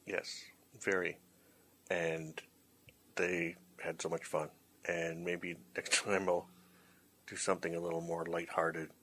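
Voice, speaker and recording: Slow, low-voiced man hosting casually. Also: little pitch movement, a bit bright. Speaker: host, drama school instructor, writer-director. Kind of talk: casual unscripted sign-off chat.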